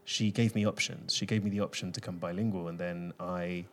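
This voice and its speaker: A young man from the UK with a London accent, a guest in an interview, talking in a thoughtful, relaxed way with many ums and pauses. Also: deep voice. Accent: London English. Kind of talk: casual interview conversation.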